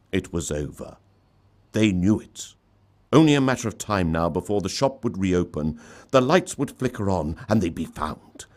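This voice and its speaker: Male story reader, low register, very animated swings, theatrical and mock-solemn. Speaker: storyteller reading own short story. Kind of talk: dramatic scripted story narration.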